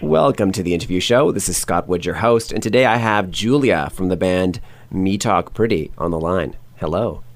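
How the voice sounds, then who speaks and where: A man with a somewhat low voice hosts an interview show. He asks his questions in an upbeat, friendly presenter manner. His voice is clear and close to the mic. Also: lively intonation, moderate pace. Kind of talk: hosted interview.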